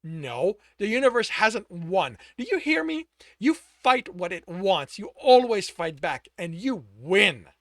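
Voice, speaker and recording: A desperate young man who forces out harsh, loud commands, his voice rising high and leaping all over the place, as a male reader acts him out. The sound is close and completely dry, with no room around it. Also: very animated, a little slow, dry recording, exaggerated voice. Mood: harsh, commanding. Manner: loud, clipped, forceful delivery.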